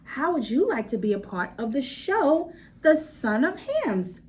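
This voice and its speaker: A blunt, eager man who manages a theater in a storybook, voiced by a woman. He talks urgently and persuasively, with lively swings in intonation. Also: high voice, measured pace.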